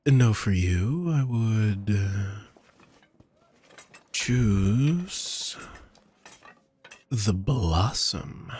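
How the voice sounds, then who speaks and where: A man playing a doting, courtly vampire shopkeeper in an intimate audio roleplay, with a husky voice and gentle, flowery, formal speech addressed to the listener. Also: on the low side, exaggerated voice.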